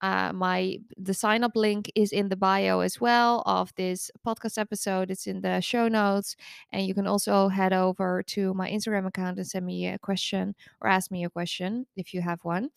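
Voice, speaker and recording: A woman's voice: mid-range voice, steady tone, normal speed, vocal fry, smooth, no echo, clean studio sound. A woman in her thirties hosting her own podcast as a recovery coach, talking in a candid, chatty way while congested from the flu. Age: 30s. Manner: candid, chatty and self-deprecating. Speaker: podcast host and eating disorder recovery coach. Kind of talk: casual solo podcast monologue.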